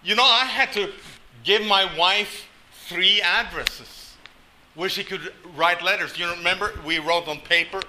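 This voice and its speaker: A man's voice: high voice, measured pace, background noise. An older man from Norway preaching a sermon, telling stories with folksy, self-deprecating humor. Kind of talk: sermon with storytelling.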